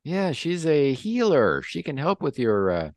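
A man plays an anxious, obsequious official with a Russian accent. The voice is pushed up high, halting and pleading, in a dry recording. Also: huge pitch swings, a little mellow, no echo, heavily acted. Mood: placating.

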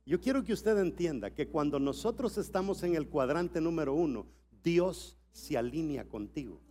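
High-voiced Salvadoran preacher. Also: male voice, lively intonation, normal speed, slight background noise. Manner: moves from quiet storytelling to shouted, rising exhortation. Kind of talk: sermon at a church conference.

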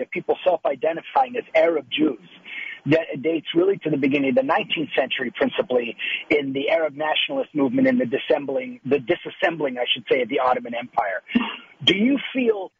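A man's voice: fairly high pitch, creaky, breathy edge, no echo. A male talk show host who frames long questions for a guest and often restarts his sentences. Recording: tinny phone-line sound.

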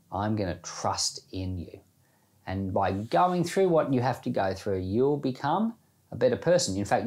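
A man's voice: mid-range voice, darkish tone. A man speaking as a pastor, giving an informal, reflective devotional talk in plain, conversational language. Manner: informal, reflective, plain-spoken, explaining with everyday examples. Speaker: pastor giving Bible podcast commentary and prayer.

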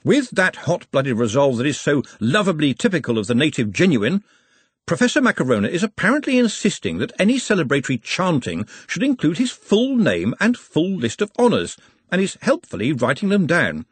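A man voicing an excitable newspaper editor giving florid, breathless commentary on a football match in an audiobook. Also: on the high side, fairly quick, husky, no room sound, full character voice. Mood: excited.

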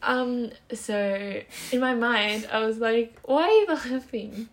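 A woman's voice: a little high, slow. A woman of twenty having a casual, giggly chat with a friend as a podcast co-host.

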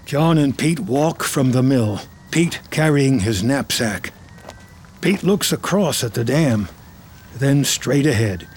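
Slow, measured male narrator. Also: medium pitch, lively intonation, darkish tone, a little hiss or hum. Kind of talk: scripted screenplay narration, present tense.

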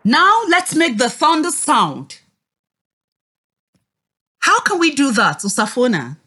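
Woman teacher, children's read-aloud, highish voice, lively swooping intonation, encouraging, deliberate. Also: breathy edge. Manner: expressive, encouraging, deliberate enunciation.

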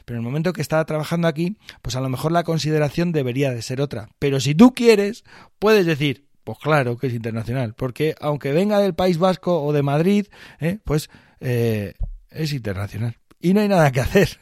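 Animated man in a dry podcast chat. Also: a little high, fairly quick, no room sound. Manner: animated, reasoning aloud with asides. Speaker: podcast co-host. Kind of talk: casual discussion.

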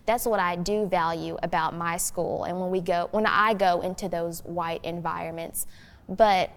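A woman's voice: on the low side, steady tone, moderate pace. A teenage girl giving thoughtful discussion as a youth guest, speaking in an articulate, earnest, explanatory way. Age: teens. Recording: long hollow room reverb.

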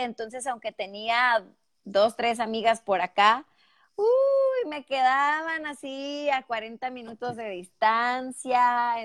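Woman, thirties, northern Mexican accent, fairly high voice, dry close sound, expressive interview guest. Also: dry recording. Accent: northern Mexican Spanish. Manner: lively, expressive storytelling. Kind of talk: casual interview over a video call. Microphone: headphones with mic on a call.

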